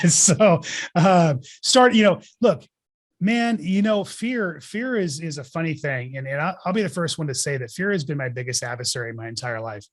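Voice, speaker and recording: A man in his forties talking as a guest in an interview, blunt and emphatic, giving motivational advice with casual swearing. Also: fairly high pitch. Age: 40s. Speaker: podcast guest, real estate investor.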